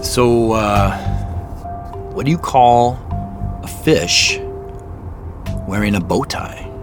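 An actor playing a hesitant, apologetic professional man in a naturalistic audio drama, with a halting, awkward way of talking. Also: lowish voice, over music. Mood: awkward, rueful. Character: Joseph, hesitant, apologetic middle-aged professional man with dad jokes.

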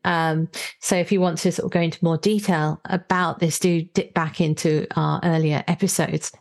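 A woman's voice: deep voice, fairly even intonation, normal speed. A woman hosting a podcast, chatting and explaining as she discusses books, thinking aloud as she goes. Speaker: podcast host. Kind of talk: conversational book review podcast.

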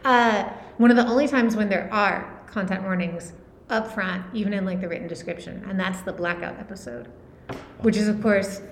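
A woman's voice: mid-range voice, moderate pace, slight background noise. Chatty woman interviewer in a roomy space. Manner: chatty, filler-heavy questions. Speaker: podcast co-host, interviewer. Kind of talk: casual interview questions. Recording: roomy echo.

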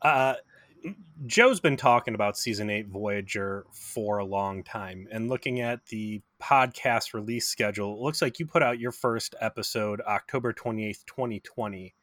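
A man's voice: fairly low pitch, normal speed, a bit bright, dry recording, clean studio sound. A man from Cleveland co-hosting a podcast and interviewing a guest, eager and chatty, with frequent restarts. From Cleveland, USA. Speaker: podcast co-host.

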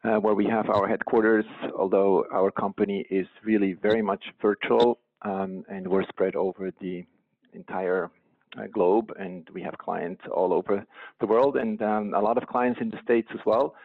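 A man with a Swiss accent answering interview questions in a relaxed, hesitant way. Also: fairly low pitch, normal speed, vocal fry. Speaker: guest, company co-founder. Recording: tinny phone line.

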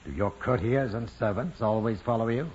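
A man's voice: on the low side, normal speed, darkish tone, natural voice. A man plays an imperious parish priest in a vintage radio drama. He is brusque and scornful, on a dull, faintly noisy old broadcast. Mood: gruff, scornful. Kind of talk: 1940s-50s radio drama acting.

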